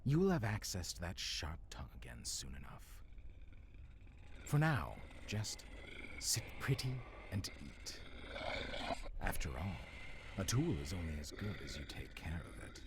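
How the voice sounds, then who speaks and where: An actor playing a menacing ancient supernatural villain in an audio roleplay, condescending and deliberate, with a gravelly, often whispery voice. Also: male voice, low-pitched, sing-song, noisy background. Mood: mocking menace. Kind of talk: dramatic audio roleplay acting.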